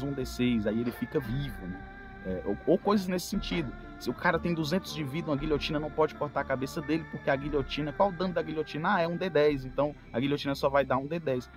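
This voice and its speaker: A man with a Brazilian accent explaining examples casually on a podcast, often checking in with tag questions. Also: mid-range voice. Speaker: podcast speaker. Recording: prominent music behind the voice. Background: music.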